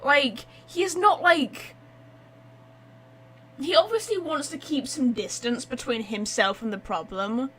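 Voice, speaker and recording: A woman's voice: high-pitched, slow, fairly bright tone, husky, low-quality recording. A woman giving chatty, informal live gameplay commentary, thinking aloud as she goes. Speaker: game streamer.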